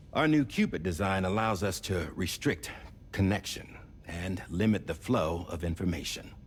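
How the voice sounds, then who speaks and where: An actor playing a calm, commanding former director in a video game cutscene, speaking in a measured, theatrical way. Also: male voice, sits low, a little hiss or hum. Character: calm, commanding male ex-director revealing a secret plan.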